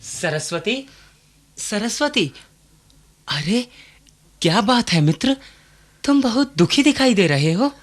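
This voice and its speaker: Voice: mid-range voice, lively intonation, slow, pauses often. A performer playing a kindly, concerned young male friend in a radio play, speaking solicitously. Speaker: actor in a radio drama. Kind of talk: Hindi radio play acting.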